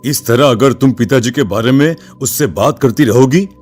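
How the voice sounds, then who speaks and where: A man plays a middle-aged cartoon father, anxious and protective. His voice sits at an ordinary male pitch, with music faintly underneath. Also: moderate pace, a little mellow, close to an everyday voice. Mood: stern, worried. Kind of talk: animated story voice acting.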